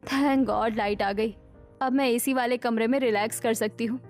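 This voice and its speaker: A woman playing a young daughter-in-law in an animated family story, with expressive domestic melodrama. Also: a little high, moderate pace, soft background music, close to an everyday voice. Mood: relieved.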